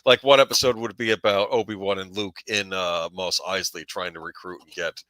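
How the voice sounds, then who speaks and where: A man's voice: sits low, steady tone, fairly quick, sharp tone, no room sound. A middle-aged man chatting casually as a fan panelist, hesitant and often rephrasing himself. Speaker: panelist on a fan discussion show. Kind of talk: casual chat.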